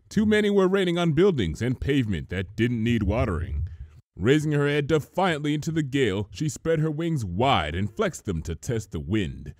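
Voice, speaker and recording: Casting: male story narrator, mid-pitched, expressive range, recorded close and dry. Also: no room sound.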